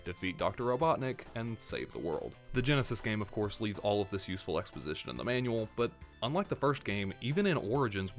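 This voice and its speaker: A man narrating a scripted game review with wry, sarcastic asides, his voice worn from a cold. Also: medium pitch. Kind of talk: scripted video essay narration.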